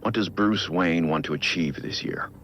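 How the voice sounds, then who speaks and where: An actor playing a brooding billionaire vigilante, recording a measured, reflective personal audio log in a game. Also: male voice, fairly bright tone, lo-fi sound. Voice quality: low gravelly voice. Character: brooding billionaire vigilante, man in his prime.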